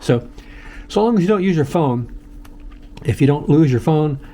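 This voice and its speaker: An older American man giving a casual, unscripted tutorial in a halting, plain-spoken way, often repeating himself. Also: medium pitch, creaky, warm tone, slight background noise. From United States. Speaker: host of a how-to video channel.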